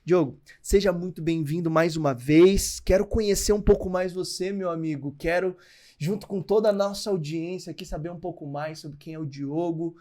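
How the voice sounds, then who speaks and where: High-voiced upbeat podcast host man. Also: moderate pace. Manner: upbeat, promotional, lively delivery. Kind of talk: enthusiastic podcast hosting.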